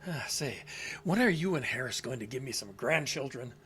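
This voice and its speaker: A man's voice: mid-range voice, lively intonation, moderate pace, bright, forceful tone, a little hiss or hum. An actor playing a forgetful, stubborn elderly father in an audio drama, with a folksy, stammering, muttering manner. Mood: affectionate.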